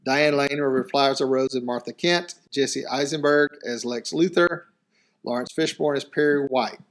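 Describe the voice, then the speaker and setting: Male co-host, even and matter-of-fact, reads items plainly. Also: medium pitch, moderate pace. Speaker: podcast co-host. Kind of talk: casual chat, reading news items.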